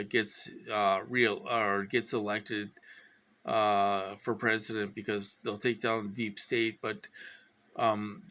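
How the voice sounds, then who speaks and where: A man of fifty talking to viewers in a rambling, halting monologue, full of fillers and repeats, sounding anxious and insistent. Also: fairly low pitch, sharp tone. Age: 50s. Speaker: vlogger.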